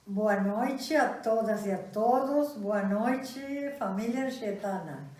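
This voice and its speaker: A woman's voice: medium pitch, darkish tone. Older Argentine woman, echoey and distant. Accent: Argentine Spanish. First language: Spanish. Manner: hesitant, many fillers and restarts. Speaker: guest speaker, university professor. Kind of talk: event talk. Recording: echoey room, distant mic.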